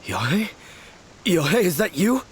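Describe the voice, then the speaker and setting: A man's voice: high voice, very animated, measured pace, a little hiss or hum. A man voicing a weakened young man shaken after being possessed, in a video game. He sounds flustered and self-pitying. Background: game music.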